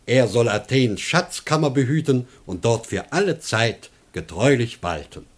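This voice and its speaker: Casting: a man as a self-important master for radio comedy, very lively intonation, declamatory orders. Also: mid-range voice, a little slow, close to an everyday voice. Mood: proud, festive. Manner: declamatory, commanding. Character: pompous, moralizing male master of household. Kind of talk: German radio play acting of classical comedy.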